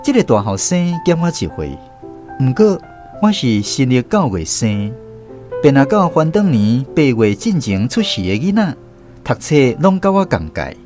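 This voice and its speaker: A man's voice: mid-range voice, very animated, moderate pace, over music. A man with a Taiwanese accent narrates a radio drama as a first-person storyteller.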